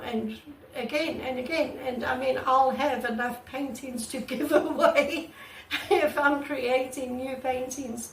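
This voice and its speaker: A woman artist with a croaky voice, talking casually and earnestly to her channel's viewers, recorded on a camera mic without a proper microphone. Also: high-pitched, recorded from a distance, a little hiss or hum. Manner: earnest and repetitive, many restarts. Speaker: artist vlogger. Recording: echoey room, away from the mic.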